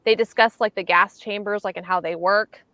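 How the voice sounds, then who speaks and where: A woman chatting casually on a livestream, telling things in a halting, reflective way. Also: medium pitch, steady tone, a bit bright, no room sound, muffled recording. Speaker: host of reading livestream. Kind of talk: casual chat.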